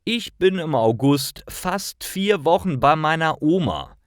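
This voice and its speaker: An annoyed schoolboy, acted by a male narrator, grumbles emphatically in a raised voice. It is a storybook delivery, animated and dry. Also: on the high side, takes it slowly, no room sound, voice pushed up. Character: annoyed schoolboy talking about summer holidays. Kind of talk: storybook reading for language learners.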